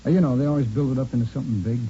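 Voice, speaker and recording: An actor playing a talkative, cocky murder suspect in a vintage radio crime drama, casual and rambling, with repeats and restarts. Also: male voice, medium pitch, rapid-fire, warm tone, close to an everyday voice. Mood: chatty, self-satisfied. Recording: muffled, noisy vintage radio recording.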